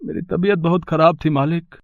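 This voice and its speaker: Casting: humble working father, weary and pleading, fairly high male voice, dull dry sound actor, cartoon moral tale. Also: steady tone, fairly quick, dry recording, close to an everyday voice. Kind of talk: animated moral story voice acting.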